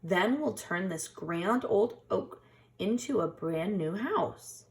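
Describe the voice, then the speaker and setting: A woman voicing a kindly, reassuring male badger in a gentle way for a children's storybook. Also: mid-range voice, animated, takes it slowly. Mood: calm, resolute. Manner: gentle, comforting. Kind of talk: children's storybook read-aloud.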